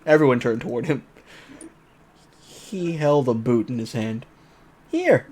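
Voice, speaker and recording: A man's voice: medium pitch, unhurried, darkish tone. A man hosting a gaming show, reading a visual novel aloud and tossing in playful, joking commentary.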